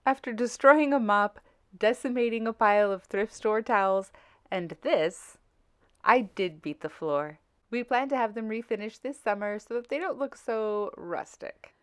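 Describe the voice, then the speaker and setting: A woman's voice: fairly high pitch, measured pace, clean tone. A woman in her thirties narrating her home renovation vlog in an earnest, scripted storytelling way.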